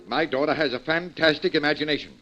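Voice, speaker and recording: An actor playing an unruffled, evasive middle-aged father in an old radio drama, measured, dry and dismissive. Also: a little high, close to an everyday voice. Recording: muffled vintage radio recording. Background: piano music.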